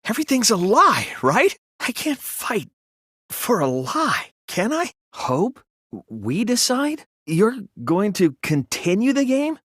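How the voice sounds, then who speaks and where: A man voicing an earnest, sensitive young student detective in an anime video game, with intense, emotional, sometimes halting delivery. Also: high voice, wildly swooping pitch, a bit bright, dry recording, studio quality, exaggerated voice. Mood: despairing, shaken, then shocked, disbelieving. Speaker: voice actor.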